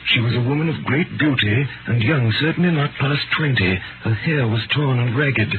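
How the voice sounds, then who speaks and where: An actor in a 1930s radio drama playing a grave, sorrowful physician, solemn, measured and melancholy. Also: male voice, medium pitch, close to an everyday voice. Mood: doleful, solemn. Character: grave, sorrowful imprisoned French physician recounting his ordeal. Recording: scratchy narrow 1938 radio broadcast.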